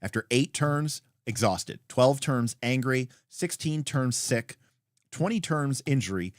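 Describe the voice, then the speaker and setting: A man hosting a read-along, reading a game rulebook aloud in an emphatic, deliberate way with brief asides. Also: medium pitch, expressive, no echo, clean studio sound. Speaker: host.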